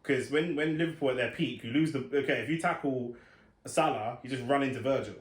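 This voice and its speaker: A man's voice: medium pitch, brisk. A young man co-hosting a podcast, chatting casually and teasing his friend. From West London. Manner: banter-heavy, teasing, repeats "do you know what I mean". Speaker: podcast co-host. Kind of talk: casual chat. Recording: echoey room with faint noise.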